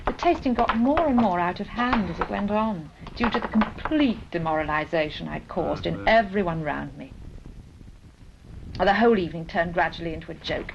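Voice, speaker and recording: On a muffled, noisy 1970s film track, a woman boss confesses in a low, creaky voice. She is dry and rueful, telling her story against herself in a training film drama. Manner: dry, wry, self-deprecating storytelling. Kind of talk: first-person dramatised monologue in a training film.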